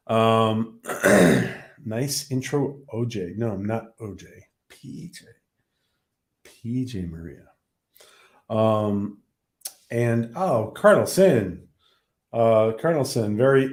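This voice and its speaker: A man hosting a casual livestream who is losing his voice. He talks haltingly, with many ums and restarts. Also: lowish voice, a little mellow. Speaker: livestream host.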